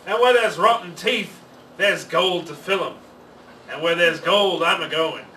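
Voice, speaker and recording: A greedy, stubborn storybook pirate captain, played by a man reading aloud, full of exclamations and grumbles. The voice is high-pitched and sharp, a little distant from the mic over faint noise. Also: a little slow, roomy sound. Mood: scheming, insistent. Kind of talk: children's storybook character voice.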